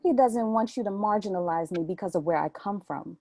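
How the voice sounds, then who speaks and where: A woman's voice: medium pitch, fairly quick, warm tone, no room sound, close to an everyday voice. A woman acting a blunt, proud, outspoken young girlfriend from New York in a play reading. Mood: defiant. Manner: blunt, defiant.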